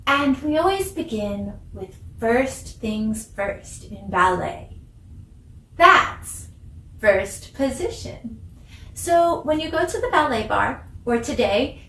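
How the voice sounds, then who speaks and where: A woman giving an encouraging, deliberate dance lesson for children, demonstrating as she teaches. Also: a little high, a little hiss or hum. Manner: mixes English with simple Spanish phrases. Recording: echoey room, distant microphone.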